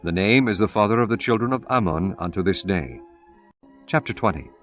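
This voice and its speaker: A low male voice narrates scripture with formal solemnity. The intonation is expressive and varied. Music plays behind the reading. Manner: formal, measured archaic reading. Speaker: audiobook narrator.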